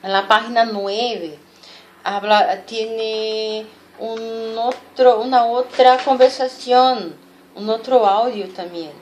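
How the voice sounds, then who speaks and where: Slow, high-voiced Brazilian woman teaching. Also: steady tone, slight background noise. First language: Portuguese. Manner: didactic, repeats and stresses key words, frequent checking questions. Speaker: Spanish language teacher. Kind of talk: informal recorded language lesson, explaining.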